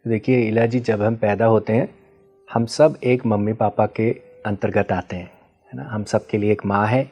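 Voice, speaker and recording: A man's voice: on the low side, steady tone, moderate pace, darkish tone, muffled recording. A man as the interview guest, patiently explaining ideas and often checking in with the listener, mixing in English terms. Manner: patient teacherly explaining with frequent checking questions; mixes Hindi with English terms. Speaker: guest expert on ancestral healing.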